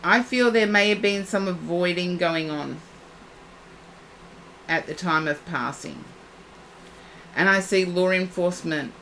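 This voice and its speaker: A woman giving a psychic reading on a live stream, soothing and reassuring, her voice going husky and hoarse. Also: fairly low pitch, a little slow, pauses often, a bit bright, a little hiss or hum, low-quality recording. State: losing her voice.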